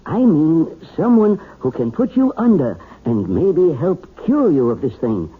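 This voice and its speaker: A folksy, husky family doctor. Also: male voice, fairly high pitch, sing-song, a little slow, exaggerated voice. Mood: reassuring. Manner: folksy, reassuring. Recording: tinny phone-like old radio recording.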